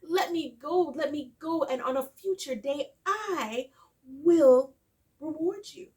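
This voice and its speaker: A woman's voice: sits high, slow, darkish tone, voice pushed up. A woman voicing a small frightened talking parrot in a folktale, pleading and repeating itself. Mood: desperate pleading. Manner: pleading, repetitive.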